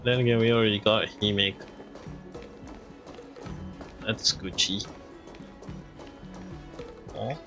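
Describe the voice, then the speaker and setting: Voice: medium pitch, fairly even intonation, takes it slowly, long pauses, highs cut off. A game streamer reacting casually while playing, with murmured asides and game music faintly behind.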